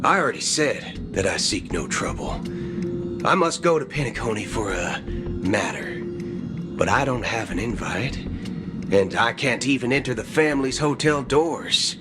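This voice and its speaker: An actor playing a brash but principled space cowboy ranger in a video game, with a folksy, theatrical drawl. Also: male voice, medium pitch, expressive, unhurried, natural voice. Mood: wry, pleading. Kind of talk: video game cutscene acting. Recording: music under the dialogue. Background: background music.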